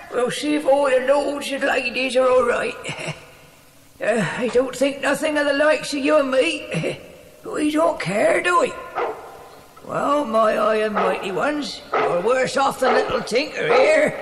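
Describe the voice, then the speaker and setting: An actor playing a simple, spiteful old English servant in an audio drama, muttering and chuckling in rustic, ungrammatical speech. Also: male voice, very high-pitched, measured pace, fairly bright tone, heavily acted. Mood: mocking, chuckling. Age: older. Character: simple, spiteful, cackling old cockney dog-keeper man. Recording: echoey reverberant space with faint noise.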